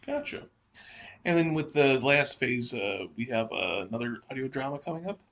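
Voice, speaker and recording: A man co-hosting a podcast, speaking casually and in a measured way as he prompts discussion. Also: medium pitch, big pitch swings, creaky, fairly bright tone. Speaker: podcast co-host.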